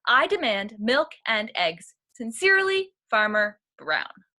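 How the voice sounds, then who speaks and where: A woman's voice: fairly high pitch, big pitch swings, slow pace, bright, forceful tone, heavily acted. A woman voicing an indignant, stubborn male farmer from a storybook in an emphatic, demanding, blustering manner. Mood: furious.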